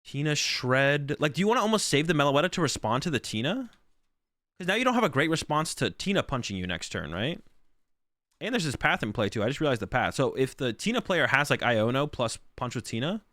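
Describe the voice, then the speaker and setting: A man's voice: mid-range voice, sing-song, fairly quick, fairly bright tone, no room sound. A man who is sick and losing his voice, rambling through casual stream commentary with lots of fillers. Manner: rambling, filler-heavy, thinks aloud.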